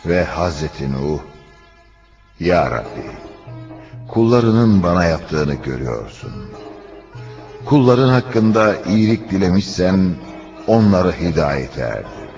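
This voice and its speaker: A man's voice: low voice, big pitch swings, takes it slowly, vocal fry, pauses often, darkish tone. A man narrating a religious cartoon in a solemn, declamatory storytelling style. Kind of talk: scripted religious cartoon narration, voicing quoted speech. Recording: dull lo-fi audio, faint music behind.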